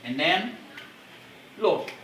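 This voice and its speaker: Slow, distant man teaching, Portuguese accent. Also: high voice, big pitch swings, fairly bright tone. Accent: Portuguese-speaking. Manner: patient, repetitive drilling with simple phrases. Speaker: language teacher. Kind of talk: beginner language lesson. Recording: distant roomy amateur recording with background noise.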